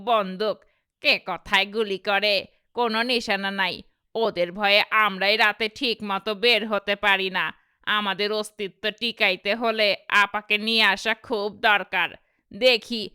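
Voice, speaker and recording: A woman plays a foolish cartoon ghost with a Bangladeshi accent, friendly and full of boasts. The voice is bright and crisply smooth, recorded very dry. It chatters on simply, more goofy than scary. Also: mid-range voice, dry recording. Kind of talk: cartoon character dialogue.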